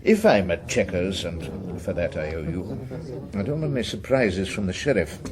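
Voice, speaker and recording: An actor playing an anxious, ambitious government minister in a radio drama, with a British accent and a fretful, confiding manner. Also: male voice, low-pitched, very animated, normal speed, crowd noise. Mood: probing. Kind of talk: naturalistic radio drama acting.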